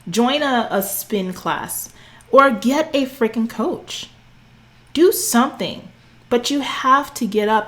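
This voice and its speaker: A woman in her forties, a fitness coach, talks straight to the camera in a sassy, preachy way. Her voice sits a bit high, and she keeps calling her listeners honey and girl. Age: 40s. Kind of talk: direct-to-camera coaching talk.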